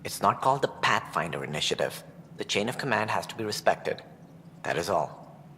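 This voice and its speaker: Sharp, officious male director. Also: lowish voice, sing-song, moderate pace, a little hiss or hum, heavily acted. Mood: dismissive. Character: officious, brisk male director of a space initiative. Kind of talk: dramatic video game cutscene acting. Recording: long hall reverb, faint music behind.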